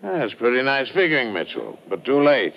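An actor playing a controlled, curt crime boss who threatens quietly in a radio adventure. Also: male voice, medium pitch, sing-song, moderate pace, gravelly. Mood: cool menace. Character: cool, menacing male crime boss. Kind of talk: 1950s radio adventure drama. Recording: muffled, faintly hissy old radio recording.

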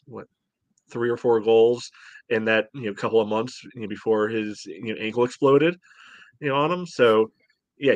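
A man with a fairly low voice and a dry, close sound gives casual sports commentary. He is opinionated and peppers his talk with "you know". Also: no echo. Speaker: podcast panelist.

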